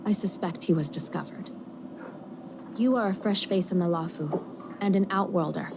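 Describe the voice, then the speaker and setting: A woman playing a composed official who gives mission briefings in a video game, measured, formal and businesslike. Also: lowish voice, noisy background, lightly acted. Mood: composed, serious. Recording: game music behind the voice.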